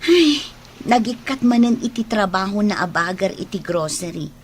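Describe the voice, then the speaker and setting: An actress playing a gentle, patient, protective elder sister in an Ilocano radio drama, speaking in an emotive, coaxing way. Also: female voice, medium pitch, expressive, normal speed, a little hiss or hum, lightly acted. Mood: worried, pleading.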